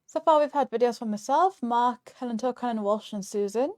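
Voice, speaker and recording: High, soft-toned female co-host. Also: a little fast. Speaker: show co-host. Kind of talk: casual live show presenting.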